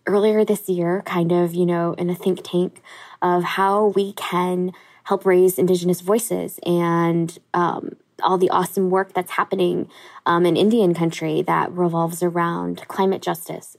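A woman guest speaking in an interview, relaxed and loose, with frequent ums and uhs. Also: on the low side, creaky. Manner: relaxed, many fillers like um and uh. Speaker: guest, director of education. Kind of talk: interview conversation.

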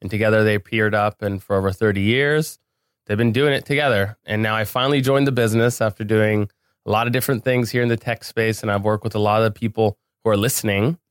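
A man's voice: on the low side, fairly even intonation, fast, clean tone, no room sound. A man speaking casually as an interview guest on a podcast, recorded in a studio.

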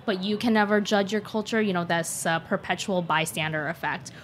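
A woman's voice: lowish voice, a little fast, a little hiss or hum. A young adult woman chef, a guest in a casual interview, talking earnestly and reflectively, with plenty of "like" and "um". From United States (born in Shanghai). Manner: earnest, reflective, frequent fillers like and um.

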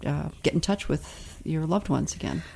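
Low-voiced woman host asking questions. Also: moderate pace, gravelly, mellow, slightly rough. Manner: conversational, hesitant questioning. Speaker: podcast host. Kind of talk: casual interview.

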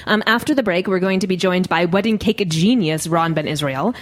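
A woman's voice: lowish voice, a little fast. A woman in her thirties co-hosting a live food radio show in a studio, chatty and teasing. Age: 30s. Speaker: co-host. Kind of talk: casual radio chat.

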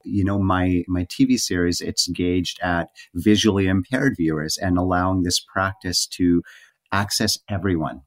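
A man hosting a podcast interview, gently affirming the guest and asking open questions. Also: low voice, fairly even intonation, moderate pace, no echo. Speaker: podcast host. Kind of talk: conversational interview.

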